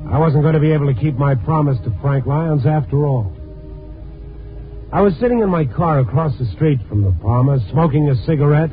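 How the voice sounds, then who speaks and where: An actor playing a dogged, weary newspaper reporter with an American accent in a 1950s radio drama, clipped and hardboiled. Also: male voice, on the high side, close to an everyday voice. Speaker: lead actor and narrator. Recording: old 1950s radio broadcast, tinny band-limited.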